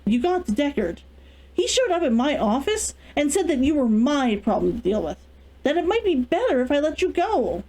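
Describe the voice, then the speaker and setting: A woman's voice: high voice, big pitch swings, moderate pace, dark tone, pitched above the performer's own voice, rough recording. A woman voicing an angry, domineering elder sister in a storybook reading. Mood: angry, accusing.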